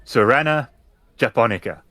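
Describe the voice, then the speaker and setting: A man's voice: mid-range voice, expressive, normal speed, bright, forceful tone, no room sound. A man narrating a tabletop roleplaying game as storyteller, setting scenes descriptively.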